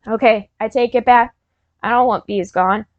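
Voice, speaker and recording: A skeptical, jittery child from a storybook , voiced by a woman reader, protests with drama in a high voice. The recording is dry and slightly muffled. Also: fairly even intonation, moderate pace, no room sound, lightly acted. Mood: reluctant. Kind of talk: storybook character reading.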